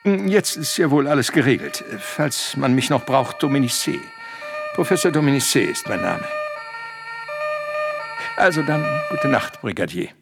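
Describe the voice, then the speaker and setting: An actor playing an older, knowing professor with an authoritative, clipped manner in a radio play. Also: male voice, mid-range voice, sing-song, a little slow, creaky. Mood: cool, knowing. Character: older male professor, knowing, brisk, self-assured. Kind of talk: German radio play acting.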